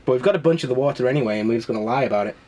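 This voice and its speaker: A man's voice: medium pitch, rapid-fire, darkish tone. A man with a cold, sounding snuffly, giving rambling, wry commentary as he plays a video game. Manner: rambling and wry, with frequent laughs. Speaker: let's play host. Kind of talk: casual gameplay commentary.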